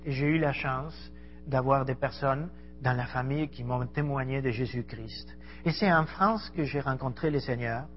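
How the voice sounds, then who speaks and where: A man's voice: mid-range voice, highs cut off, a little hiss or hum. A middle-aged man from Argentina, a missionary preacher giving an earnest, conversational sermon in a church hall and speaking to the audience directly. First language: Spanish. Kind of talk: sermon to a congregation.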